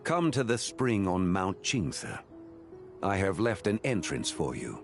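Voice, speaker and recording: An ancient god in a fantasy game. The voice is deep and solemn with expressive, formal phrasing over faint music. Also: male voice, a little slow, exaggerated voice. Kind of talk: video game voice acting.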